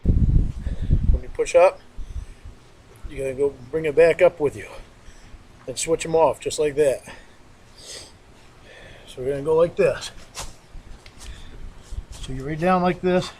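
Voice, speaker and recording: A man with a slightly raised, mellow voice, sniffling as he explains an exercise routine. He repeats himself and spells out each movement slowly, like a homemade fitness video. A faint hiss sits under him. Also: on the high side, low-quality recording. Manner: plodding, repetitive step-by-step instructions. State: sniffling, congested. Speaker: home fitness demonstrator. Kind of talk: instructional workout demo.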